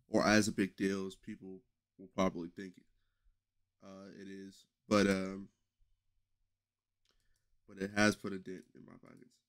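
A young man with a low voice chatting loosely to his stream audience from a headset in his bedroom, rambling with many fillers and restarts. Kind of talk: casual live-stream chat. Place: small bedroom streaming setup indoors.

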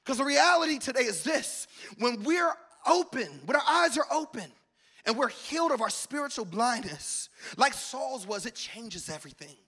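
Man, pastor, sermon, forties, high voice, shouting then hushed, handheld mic, church stage. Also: sing-song, moderate pace. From United States. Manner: swings from shouted preaching to hushed intimate talk. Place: large church stage with audience.

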